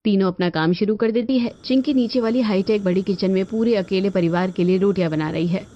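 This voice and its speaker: A woman's voice: mid-range voice, a little fast, mellow, dull audio. A woman narrating a scripted story as a storyteller. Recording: band-limited audio with music behind.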